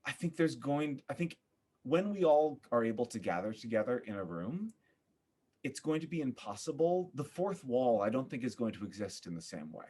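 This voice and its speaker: A man with a mid-pitched voice talks as a guest in a podcast interview. He is earnest and thoughtful, often pausing and reaching for the right words. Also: very animated, mellow, breathy edge. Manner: halting, searching for words, earnest. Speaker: podcast guest, theater artist.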